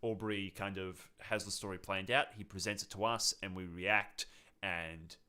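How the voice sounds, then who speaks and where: A young man with a fairly low voice that has a bright, forceful edge. He talks casually, as a podcast co-host chatting with a partner. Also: steady tone, normal speed, smooth. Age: young adult.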